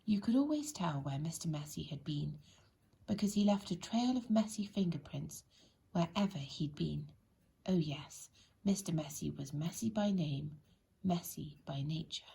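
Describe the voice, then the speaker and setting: A woman narrates a children's storybook in a lowish, very husky voice. She is deliberate and expressive, addressing her young listeners directly, with some creak in her breathy tone.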